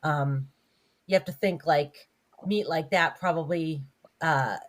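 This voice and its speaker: Low-voiced woman explaining a scene. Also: slow pace. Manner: thoughtful, explains scenes with "like" and "you know". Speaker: podcast co-host. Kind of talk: casual movie-review chat.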